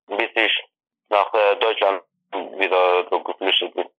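A man who grew up in Iran, answering interview questions in halting speech full of restarts, heard over a video call from his family's apartment. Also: deep voice, steady tone, dry recording. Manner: halting, restarts and self-corrections. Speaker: interview guest. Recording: dry lo-fi video call audio.

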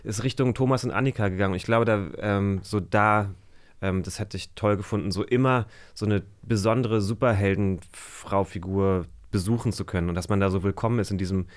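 A man's voice: on the low side, fairly even intonation, fairly quick. A man, chatting casually as a guest in a loose, slangy, searching way. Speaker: podcast guest, actor. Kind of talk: casual chat.

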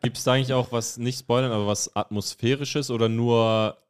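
A man's voice: medium pitch, fairly even intonation, brisk, smooth. A man co-hosting a podcast, chatting casually and informally with slangy wording. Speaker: podcast host. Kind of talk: casual chat.

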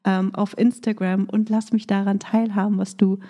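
A woman hosting her own business podcast, upbeat and coaching, still a little hoarse from a cold. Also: mid-range voice, level tone, moderate pace, dark tone. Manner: upbeat coaching tone, with fillers in free talk. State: recovering from a cold, voice slightly rough. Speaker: podcast host. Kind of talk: scripted intro then casual monologue.

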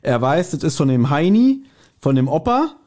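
A man's voice: fairly high pitch, sing-song, normal speed, dark tone. A man co-hosting a podcast, chatting casually and colloquially as he retells and discusses a story, slipping into quoted asides. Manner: colloquial, acts out characters' thoughts in quoted asides. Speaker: podcast co-host. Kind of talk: casual chat, retelling and discussing an audio drama episode.

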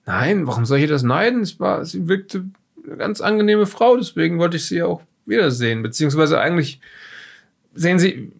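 A man playing an evasive witness in an improvised audio drama, talking casually and haltingly, a little defensive. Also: a little high, lively intonation, creaky. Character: evasive male witness recounting a mysterious investigation.

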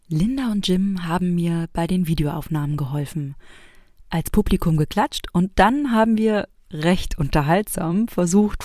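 Female narrator-host, lower register, soft mellow tone, close dry recording. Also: dry recording. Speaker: host and maker of a private Christmas audio piece. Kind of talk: scripted narration.

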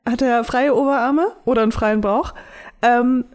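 A young woman with a fairly high voice talks as a podcast co-host. She chats casually and candidly, as if with a close friend, and is prone to giggling. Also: moderate pace, darkish tone. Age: young adult. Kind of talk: casual chat between friends.

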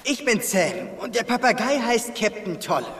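A friendly, adventurous boy talks in a high, animated voice, unhurried and encouraging. The children's audio play gives him long reverb. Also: a little hiss or hum. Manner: eager, encouraging.

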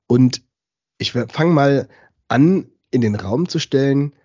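Ein Mann, der als Gastgeber eines Podcasts locker und plaudernd durch ein Gespräch führt. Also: male voice, medium pitch, lively intonation, takes it slowly, dark tone, dry recording, muffled recording. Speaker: podcast host. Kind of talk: casual talk moderation.

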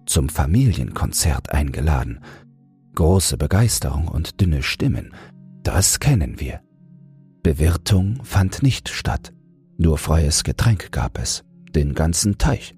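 A man with a soft, breathy voice narrating a fairy tale as a bedtime audiobook. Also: extremely low pitch. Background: soft music.

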